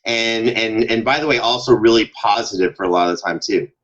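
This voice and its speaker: A man talking as a podcast guest in an emphatic, expressive and enthusiastic way, in casual interview conversation. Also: lowish voice, fairly quick, muffled recording. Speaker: podcast guest, show producer. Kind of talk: animated casual interview talk.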